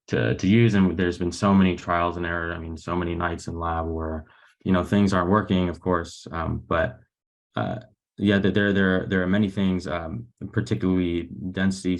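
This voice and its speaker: A young man with a very low, gravelly voice explains technical research in an online academic seminar. His phrasing is hesitant and halting, with words often repeated as he works through his points. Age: young adult. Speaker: graduate researcher presenting and answering questions. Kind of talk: academic webinar talk and Q&A. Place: indoors on a video call. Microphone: video call microphone.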